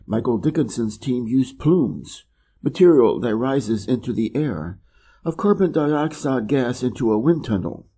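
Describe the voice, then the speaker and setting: Midwest american guy reading slow for english learners, real dark warm voice, kinda husky. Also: mid-range voice, expressive. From Wisconsin, USA. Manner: measured and deliberate, clearly pronounced. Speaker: reader of an English learning channel. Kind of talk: slow, careful reading aloud.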